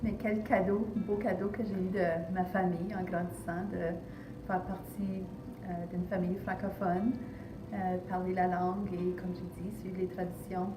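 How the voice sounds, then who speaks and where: A woman's voice: lowish voice, flat delivery, moderate pace. Grateful woman, roomy. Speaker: interviewee. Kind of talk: vox-pop testimony. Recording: distant, roomy, noisy recording.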